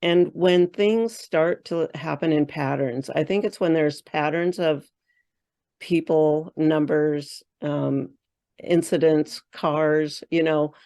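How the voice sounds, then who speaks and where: Low-voiced woman sharing coaching tips. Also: fairly even intonation, slow, warm tone. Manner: thinks aloud with many fillers and restarts. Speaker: spiritual coach, guest. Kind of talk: casual interview chat.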